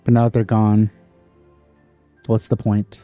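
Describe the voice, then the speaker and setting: Mellow-voiced man commenting on a game. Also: on the low side, completely flat delivery, unhurried, no echo, soft background music. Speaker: gameplay commentator. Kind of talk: casual play-along commentary.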